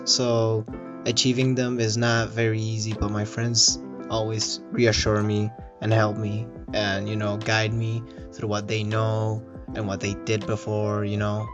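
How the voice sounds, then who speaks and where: Argentinian guy leaving a voice note, earnest, kinda shy, muffled audio, guitar playing behind. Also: lowish voice. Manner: earnest, a bit shy, nervous laughs. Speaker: caller leaving a message. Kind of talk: recorded voice message. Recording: dull lo-fi voice message. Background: guitar music.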